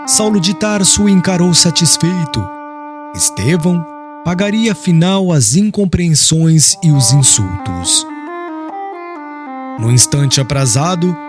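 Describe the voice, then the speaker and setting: A man narrates an audiobook with solemn formality. His voice is a little high and very expressive in pitch, with music clearly playing behind him. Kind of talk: scripted literary narration.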